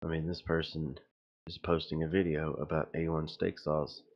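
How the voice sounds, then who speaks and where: A man's voice: very deep voice, little pitch movement, normal speed, muffled recording. A man giving casual, rambling video commentary in a puzzled, insistent way. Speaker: video host.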